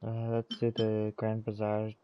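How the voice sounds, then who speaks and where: A man's voice: fairly low pitch, completely flat delivery, a little slow, muffled recording, over music. A man giving casual commentary as he plays through a video game. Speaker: gameplay streamer.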